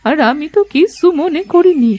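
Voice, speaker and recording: A kindly old beggar woman from a cartoon folk tale. Her voice is high and very dry in the recording. She talks in a folksy, coaxing, motherly way. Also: lively intonation, normal speed, dark tone, no room sound. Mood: warm, coaxing. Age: older. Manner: folksy, coaxing, addresses others as her children. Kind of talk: Bengali moral-story cartoon dubbing.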